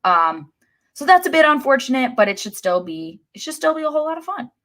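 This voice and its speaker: A woman's voice: high voice, big pitch swings, a little fast, fairly bright tone. A woman co-hosting a casual sports podcast, talking like a wry, resigned fan. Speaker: podcast co-host.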